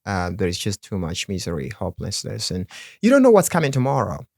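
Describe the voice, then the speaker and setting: A man's voice: fairly low pitch, wildly swooping pitch, brisk, gravelly, a little mellow, no echo, studio quality. Congolese man, earnest podcast guest. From Democratic Republic of the Congo. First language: Swahili. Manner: earnest, laughs often, restarts words. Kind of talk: casual interview chat.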